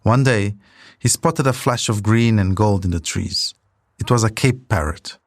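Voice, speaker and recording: A man's voice: fairly low pitch, unhurried, slight background noise. A man hosting a podcast, reading scripted documentary narration as a storyteller. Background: music.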